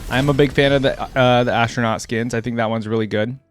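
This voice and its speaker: A man hosting a gaming reaction video, commenting casually off the cuff and thinking out loud with easy surprise. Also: mid-range voice, fairly even intonation, fast, faint music behind. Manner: easily amused, thinks out loud, exclaims with surprise.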